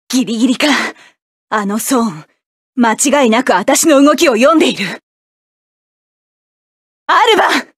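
A woman's voice: on the high side, lively intonation, measured pace, long pauses, slightly rough, no echo, clean studio sound. An actress playing a proud, cool-headed female mecha pilot who barks clipped, scornful battle lines. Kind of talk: video game battle voice lines.

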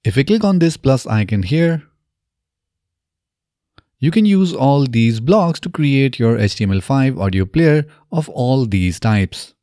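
A man in his thirties narrating a step-by-step software tutorial in a methodical, instructional way, close on a studio microphone. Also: mid-range voice, lively intonation, measured pace, no echo. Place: small indoor home studio. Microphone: close studio microphone on boom arm.

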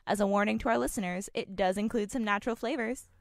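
A woman's voice: mid-range voice, quick pace, creaky, no room sound, studio quality, lightly acted. A woman playing an earnest old-time radio sponsor announcer, reading a parody commercial in polished sales patter. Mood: sincere selling. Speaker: advertising announcer.

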